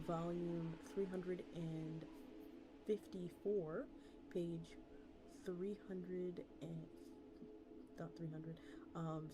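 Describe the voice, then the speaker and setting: A woman livestreamer reading a story aloud with acted dialogue and chatting casually with her viewers. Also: on the low side. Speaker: VTuber streamer. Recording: music playing behind the voice. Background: music.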